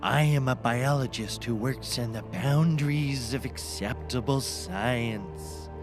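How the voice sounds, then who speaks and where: A man's voice: medium pitch, slow pace, music in the background, close to an everyday voice. A man playing a grave, troubled mad scientist in a scripted comedy, formal and solemn. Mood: formal, ominous.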